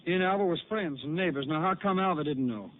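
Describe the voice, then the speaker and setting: An actor playing an estranged young cowboy, defensive, with a rural drawl, in a 1950s radio drama. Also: male voice, fairly high pitch, fast, natural voice. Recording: phone-narrow old radio transcription with hiss.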